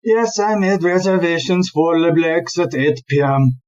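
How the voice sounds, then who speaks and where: A woman acting in an audio play as a smooth-talking, dismissive male police chief inspector who is a philanderer. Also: on the low side, steady tone, unhurried, darkish tone, muffled recording, close to an everyday voice. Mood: suave.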